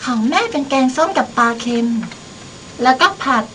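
Little girl, trusting daughter, played by a young woman; high voice, radio drama, distant mic with faint noise. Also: normal speed, pushed and bright. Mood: innocent, polite. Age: young adult. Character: innocent, anxious little daughter. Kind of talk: student radio drama acting.

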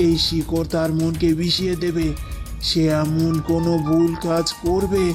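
A woman voicing a scheming, commanding forest sorceress in a fairy-tale cartoon, measured and ominous. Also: deep voice, flat delivery, darkish tone, soft background music. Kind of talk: dramatized Bengali fairy-tale cartoon acting.

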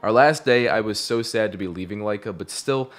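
A young man with a fairly low voice tells the story of his trip in voice-over, easygoing and reflective. Music runs beneath his narration. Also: brisk. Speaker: vlogger narrating.

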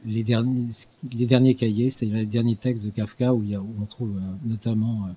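An older man giving an unscripted talk in a small studio at a desk microphone, halting with frequent restarts and fillers. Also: fairly low pitch, fairly even intonation, warm tone, breathy edge. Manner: hesitant, many restarts and fillers. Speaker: guest lecturer. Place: small studio with dark purple backdrop. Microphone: desk mic on stand.

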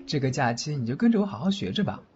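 Man acting a teasing godfather, lively pitch. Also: racing, close to an everyday voice. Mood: teasing, stern. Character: gruff teasing godfather who knows minor magic. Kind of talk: Chinese audio drama acting. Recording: dull lo-fi fan drama audio.

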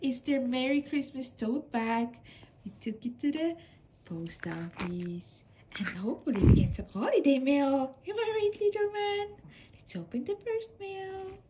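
A young woman, a pet channel host reading holiday mail aloud at home, speaking gently with soft laughs and cooing at her dogs. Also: sits high, measured pace, a little hiss or hum. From Estonia. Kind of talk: casual vlog narration reading mail aloud. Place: home room with bed, off camera.

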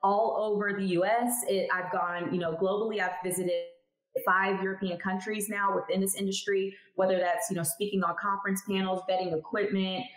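A candid, emphatic woman in her thirties talks through her career as an interview guest. Her voice sits at a middle pitch and carries the roominess of a home room heard over a video call. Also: level tone, a little fast, reverberant, rough recording. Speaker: guest, cannabis lab chemist. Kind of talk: casual interview talk. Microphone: headphones on video call.

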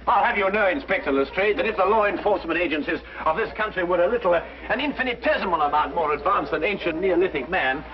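An actor playing a brilliant, haughty English gentleman detective, precise and pompous, in the manner of 1950s television drama. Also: high voice, fast talker, few pauses, close to an everyday voice. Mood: indignant. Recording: dull 1950s broadcast soundtrack, faint music.

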